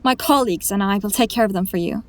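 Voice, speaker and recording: A woman's voice: mid-range voice, fast talker, close to an everyday voice. A woman playing a weary, resolute, grieving heroine in a fantasy audio drama, solemn and restrained. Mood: calm, firm. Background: music.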